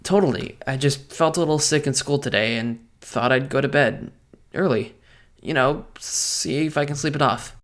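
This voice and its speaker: A man's voice: medium pitch, normal speed, lightly acted. A man voicing a geeky, idealistic teenage boy who narrates a comic dub in a wry, self-deprecating way. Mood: sheepish. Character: geeky, idealistic teenage boy, self-made masked hero, wryly sardonic. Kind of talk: first-person narration and dialogue in a comic dub. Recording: loud music under the voice.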